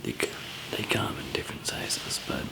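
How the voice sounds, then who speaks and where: A man playing a calm, easygoing survival trainer in an ASMR zombie apocalypse roleplay, whispering softly and hesitantly with a gravelly, breathy voice. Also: natural voice, poor audio. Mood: quietly instructive. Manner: hesitant, halting, soft-spoken. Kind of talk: ASMR roleplay, improvised monologue to listener.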